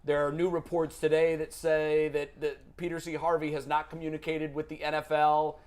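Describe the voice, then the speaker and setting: Male sports talk host, a little high in pitch, conversational and agreeable. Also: monotone, moderate pace, a little mellow.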